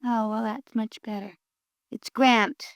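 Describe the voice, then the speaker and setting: A prim, talkative roommate, high voice, dry studio sound. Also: female voice, steady tone, slow, clear, resonant tone, dry recording. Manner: prim and talkative, easily offended. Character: chatty, fussy young woman, the missing girl's roommate. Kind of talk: 1950s radio drama acting.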